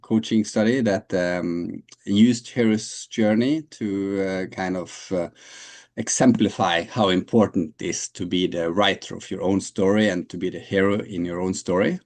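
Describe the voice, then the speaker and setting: A man's voice: sits low, fairly even intonation, unhurried, darkish tone. A man in his late forties from Norway, answering interview questions in a hesitant way, with many fillers and restarts. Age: 40s. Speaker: guest.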